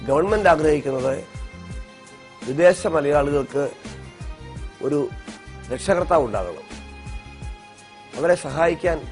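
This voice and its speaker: A man with a Kerala accent giving a formal official statement, measured and broken into short phrases. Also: on the high side.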